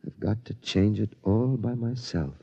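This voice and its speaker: An earnest worried father, mellow voice. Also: lowish voice, measured pace, gravelly, slightly rough, full character voice. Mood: resolved. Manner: urgent, earnest. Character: worried, determined father of a teenage son. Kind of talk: 1950s radio drama acting. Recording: muffled old radio broadcast.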